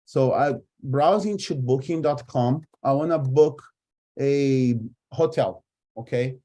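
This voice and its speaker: A man who speaks Portuguese natively presents a software demo in a mid-pitched, relaxed, mellow voice. He explains each step casually as he does it. His delivery is halting, as if thinking aloud while he works. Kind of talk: live screen-share demo, casual explanation.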